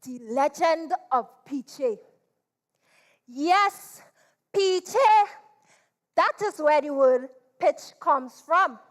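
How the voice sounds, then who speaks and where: A woman with a Trinidadian accent tells a folk story in a high voice. She takes her time, stretching and repeating words in a theatrical, staged style of dramatized storytelling. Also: a bit bright. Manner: theatrical, drawn-out delivery with repetition. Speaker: storyteller performing at a literature competition.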